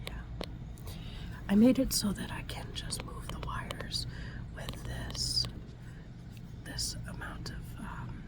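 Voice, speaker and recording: Whispering woman in an ASMR roleplay. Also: low-quality recording. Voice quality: breathy whisper. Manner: soft, soothing, halting, reassuring. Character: gentle scientist tending a robot. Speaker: ASMR performer.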